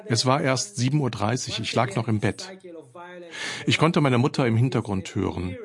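Guy doing german voice-over for an interview clip, calm, earnest, mid-pitched. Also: normal speed, creaky. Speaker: voice-over translator.

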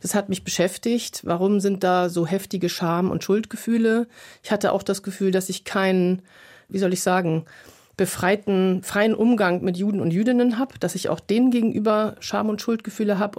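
A woman therapist speaks as an interview guest, working through her thoughts earnestly. Her manner is searching and self-reflective. She pauses to find her words as she goes. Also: mid-range voice, steady tone, darkish tone.

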